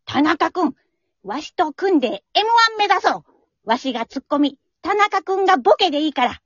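A high-voiced old male vice principal in a comic radio play. He is pompous and childishly wheedling, with swooping intonation. The close, dry sound is a little dull. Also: expressive, fairly quick, fairly bright tone, no echo. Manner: pompous, then whiny and childish, old-man speech. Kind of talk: amateur comedic radio drama acting.

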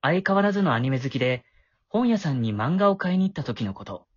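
A man's voice: on the high side, sing-song, fast, no room sound, lo-fi sound. A man acting a shy, awkward middle-school boy with a crush in an amateur voice drama, flustered and prone to stammering. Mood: wistful narration.

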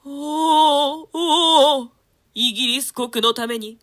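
A woman's voice: high voice, takes it slowly, a bit bright. A woman playing a doomed, remorseful nobleman in a declamatory, archaic dramatic reading of Shakespeare. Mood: anguished regret. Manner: archaic, declamatory, swelling with emotion. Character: doomed, remorseful nobleman lamenting his own folly.

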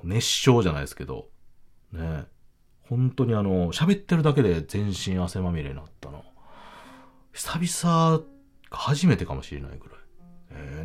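A man with a Kansai accent hosting a casual solo talk podcast, chatting in an animated, emphatic way. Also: fairly low pitch. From Kansai, Japan. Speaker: podcast host. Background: background music.

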